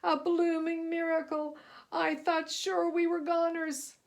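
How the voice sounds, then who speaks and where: A woman voicing a gruff, commanding male sailing ship captain from a storybook, growling in salty sailor talk. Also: extremely high pitch, monotone, slow pace, voice pushed up, exaggerated voice. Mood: amazed.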